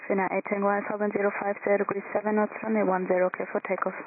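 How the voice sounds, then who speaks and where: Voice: mid-range voice, level tone, very fast, dry recording. An air traffic controller giving procedural instructions and numbers in clipped phraseology over aviation radio. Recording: narrow crackly airband radio, faint static.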